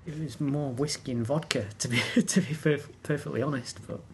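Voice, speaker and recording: A man's voice: medium pitch, fairly even intonation, moderate pace, slight background noise. A man chatting casually as a guest, laughing often while telling stories. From England. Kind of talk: casual chat.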